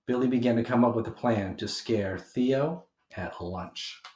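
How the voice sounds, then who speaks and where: A man's voice: medium pitch, measured pace. Man reading a storybook in a roomy space. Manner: animated, emphatic delivery for young listeners. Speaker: storyteller reading a children's book aloud. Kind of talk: expressive children's read-aloud narration. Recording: roomy homemade recording.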